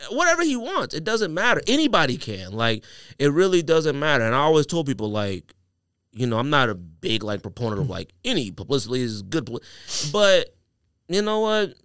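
Male podcast host, casual slangy storyteller who voices dialogue; highly animated, close dry sound. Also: fairly quick, clear, resonant tone, dry recording.

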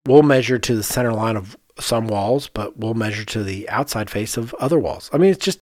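A man's voice: lowish voice, fairly quick, no echo, studio quality. A man co-hosting a podcast, explaining things casually and conversationally, often thinking aloud. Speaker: podcast co-host, architect.

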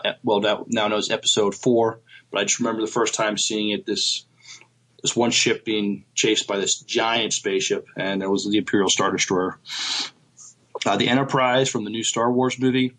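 A man co-hosting a casual podcast, speaking in a halting way with frequent pauses. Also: on the low side, fairly even intonation, a bit bright, low-quality recording. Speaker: podcast co-host.

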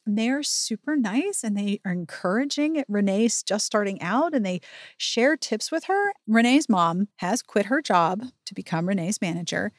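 A woman hosting a chatty book recap podcast, animated and full of wry asides. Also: mid-range voice, dry recording, clean studio sound. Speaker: podcast host.

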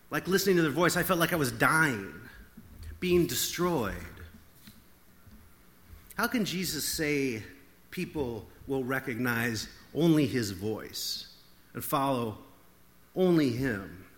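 A man's voice: fairly high pitch, pushed and bright, big-room sound. A man preaching a reflective sermon, thinking aloud in a searching, questioning way with many filler words.

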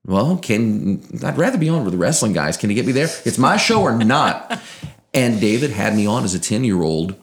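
A man's voice: lowish voice, very animated, moderate pace. A man in his thirties from Louisiana with an American accent and a faint Southern twang, telling stories conversationally with precise, broadcaster-like enunciation. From Louisiana, United States. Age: 30s. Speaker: interview guest, sports broadcaster. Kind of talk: conversational storytelling.

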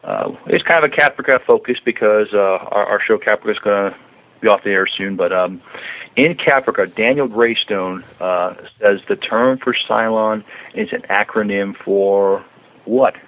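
Slightly dull, lo-fi audio carries a man's fairly low voice. He co-hosts a casual podcast and speaks in a hesitant, halting way. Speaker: podcast co-host.

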